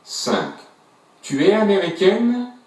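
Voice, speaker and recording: A man narrating a language textbook listening exercise in a scripted, deliberate way. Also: sits high. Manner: slow, deliberate, lively intonation. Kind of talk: scripted language-lesson instructions. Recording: echoey, distant textbook audio.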